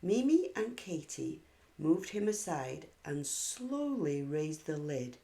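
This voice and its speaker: Female storybook narrator, lower-pitched, very lively intonation, measured read-aloud delivery for young children. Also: vocal fry. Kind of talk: expressive scripted storybook narration.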